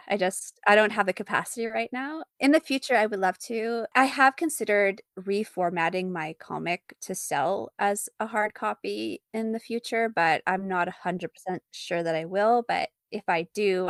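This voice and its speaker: A woman's voice: mid-range voice, dry recording, studio quality. A woman speaking casually as an interview guest, often laughing as she talks. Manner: giggly, laughs often while talking. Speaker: interview guest, artist and fan fiction author.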